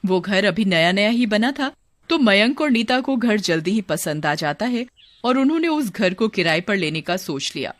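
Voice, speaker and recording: A woman's voice: mid-range voice, expressive, moderate pace. A woman storyteller reading scripted narration for a cartoon horror story.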